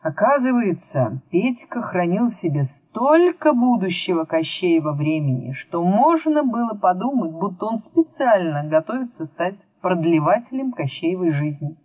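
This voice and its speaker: A woman narrating a children's book as scripted, expressive storytelling. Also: lowish voice, normal speed, few pauses, slightly rough, no room sound, rough recording. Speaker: audiobook narrator.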